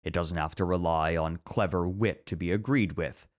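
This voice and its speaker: A man acting in an ASMR roleplay as a strict, orderly academic teacher who is easily flustered, speaking in formal, measured lectures. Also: low-pitched, lightly acted. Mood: earnest, instructive.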